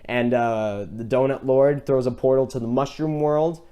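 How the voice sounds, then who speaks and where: A man's voice: medium pitch, moderate pace, dark tone, smooth, lo-fi sound. A man co-hosting a casual film chat, talking in a rambling, enthusiastic fan's way. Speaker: podcast co-host.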